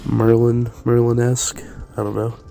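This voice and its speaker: A man casually commenting on a game as he plays, hesitant and full of fillers, his voice raspy and stuffed up. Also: medium pitch, flat delivery, moderate pace, warm tone. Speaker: let's play gamer.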